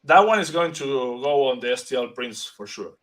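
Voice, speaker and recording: A man with an Argentinian accent chatting as a guest artist, halting and restarting as he searches for English words. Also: medium pitch, big pitch swings, fairly bright tone. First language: Spanish. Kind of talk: casual chat.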